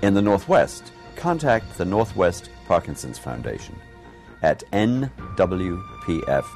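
A man reading a scripted charity announcement in a steady, polished delivery. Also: deep voice, measured pace. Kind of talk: scripted public service announcement. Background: music bed.

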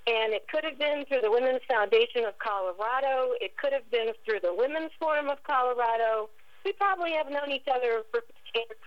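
A woman guest answers an interviewer slowly and thoughtfully, drawing out her words. Her voice is fairly high. It reaches us over a dull phone line and sounds slightly distant from the mic. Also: animated, no room sound. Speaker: guest author.

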